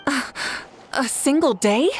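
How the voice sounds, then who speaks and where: An actress playing a gracious, confident warrior leader in a video game, courteous and measured. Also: female voice, high-pitched, expressive, noisy background. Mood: curious. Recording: music under the voice.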